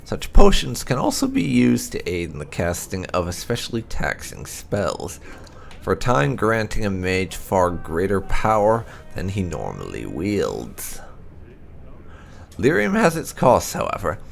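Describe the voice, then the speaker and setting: A man reading fantasy game lore aloud as narration, in a deliberate, expressive manner with dramatic stresses. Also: deep voice, creaky, slight background noise. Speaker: host of a game playthrough channel.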